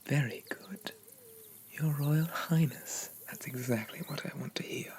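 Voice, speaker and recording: A man as a slow, haughty demon prince. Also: fairly high pitch, whispered, close to an everyday voice. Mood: prideful, veiled threat. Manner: pompous, formal, softly condescending. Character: haughty, possessive young male dream demon prince. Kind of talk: slow ASMR roleplay, half whispered.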